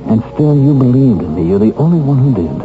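A man's voice: medium pitch, sing-song, fairly quick, close to an everyday voice. An American actor playing a bitter, determined middle-aged man just freed from prison, speaking earnestly and emphatically in 1970s radio drama style. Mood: tender, earnest. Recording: dull vintage radio recording with music.

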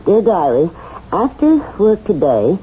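An actress playing a nervous, excited woman reading an incriminating diary aloud in a 1970s radio drama. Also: on the low side, a little slow. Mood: reading aloud intently. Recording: dull, noisy old radio recording.

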